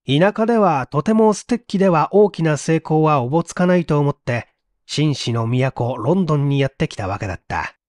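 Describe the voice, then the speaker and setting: A man reading a literary mystery story aloud in an expressive, theatrical storytelling manner. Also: a little high, normal speed, no echo. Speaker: reader of audio stories.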